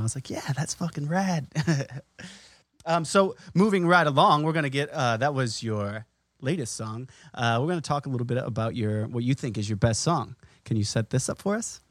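A man's voice: medium pitch, a little fast, no echo. A man hosting a casual interview, easygoing as he steers the conversation. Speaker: host.

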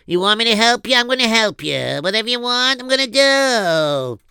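A man voicing an eager, obliging young son in a high, raised voice. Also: very animated, moderate pace, creaky, sharp tone, smooth, no room sound, clean studio sound, heavily acted. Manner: eager, high-pitched agreeing.

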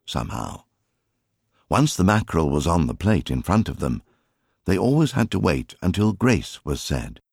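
A man reads a story as an audiobook narrator. His voice is very deep and husky, with wide, expressive swings in intonation. It is recorded dry and close. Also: dry recording.

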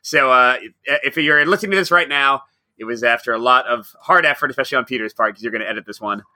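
A man's voice: mid-range voice, quick pace, bright, forceful tone, no room sound. A man co-hosting a casual podcast, upbeat and teasing, laughing often. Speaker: podcast co-host.

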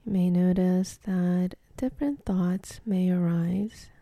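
A woman leading a guided meditation in a soft, calm, half-whispered voice with long pauses between phrases. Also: on the low side, no echo.

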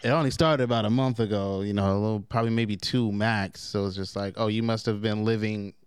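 A man's voice: fairly low pitch, fairly even intonation, a little fast, smooth, no echo, clean studio sound. A man co-hosting a podcast, chatting casually and hesitantly with plenty of fillers. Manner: hesitant, filler-heavy delivery. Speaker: podcast co-host. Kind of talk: casual chat.

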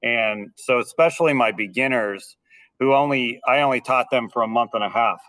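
A man's voice: medium pitch, normal speed, pushed and bright. A man in his thirties, a band teacher, talks through his methods in a casual podcast chat. His voice is slightly nasal, and he often stumbles and repeats words as he gets going. He sits a little away from the microphone, so he sounds somewhat distant. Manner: hesitant, repeats words when starting sentences. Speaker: school band director.

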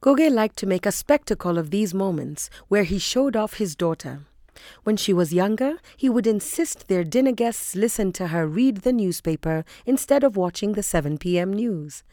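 A woman's voice: mid-range voice, animated, moderate pace, mellow, dry recording, clean studio sound. A woman reading scripted literary narration for audio fiction.

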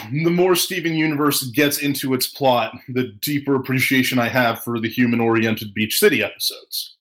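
A man's voice: medium pitch, a bit bright, poor audio. A man hosting a fan podcast in casual chat, enthusiastic and prone to swearing. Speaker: podcast host.

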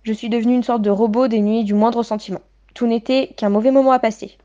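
A woman's voice: on the high side, fairly even intonation, fast, mellow, dull audio. A woman in her late twenties giving articulate, literary, self-deprecating personal testimony as a guest in a radio interview.

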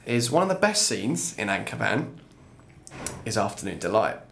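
A man's voice: on the low side, big pitch swings, moderate pace, creaky, a bit bright, a little hiss or hum. A young man hosting a casual film podcast in a small studio, with dry, teasing humour. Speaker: podcast host.

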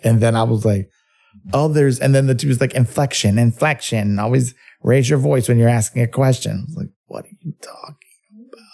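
A man co-hosting a podcast in casual chat, English his second language after Spanish, animated and joking. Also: medium pitch, brisk, creaky. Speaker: podcast co-host.

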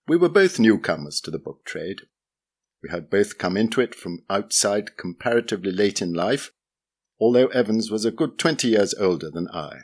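A man giving a measured, expressive reading of a literary memoir essay. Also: fairly low pitch.

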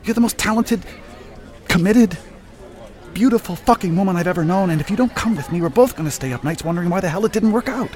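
A man's voice: sits high, animated, fast talker, a little mellow, a faint crowd. Brash, impassioned man, outdoor ambience. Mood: urgent, pleading. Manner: cocky, profane, impassioned in private, folksy showman on stage. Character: brash foul-mouthed man, head of a celebration foundation, lovestruck. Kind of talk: satirical audio drama acting.

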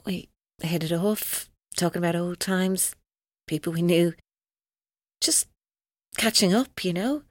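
An actress playing a tormented, evasive young Irish woman who speaks haltingly and guardedly in an audio drama. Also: on the low side, no echo, clean studio sound. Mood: guarded, bitter. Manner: hesitant, halting, guarded, breaking off mid-sentence. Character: tormented, evasive young woman giving police her story. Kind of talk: naturalistic audio drama acting.

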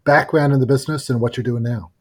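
Male podcast host, measured and friendly, casual chat. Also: medium pitch, steady tone, darkish tone. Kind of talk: casual interview conversation.

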